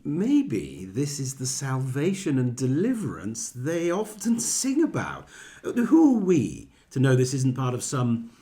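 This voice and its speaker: A flippant male politician in a radio satire, with a mid-pitched voice that swings widely. He is pompous and glib, patronising everyone at the table with breezy confidence. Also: huge pitch swings, unhurried, mellow. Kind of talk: satirical radio play acting.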